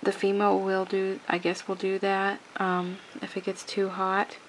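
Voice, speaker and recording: A woman's voice: mid-range voice, monotone, a little slow, slight background noise, low-quality recording. A woman with a cold talks through a hands-on craft tutorial at home, sniffling and rambling with many restarts. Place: home craft table, indoors.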